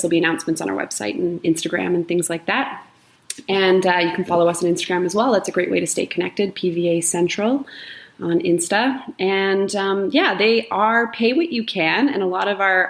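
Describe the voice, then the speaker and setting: Chatty lower-voiced woman, a drama teacher. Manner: chatty, many fillers, enthusiastic. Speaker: high school drama teacher, guest. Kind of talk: casual chat.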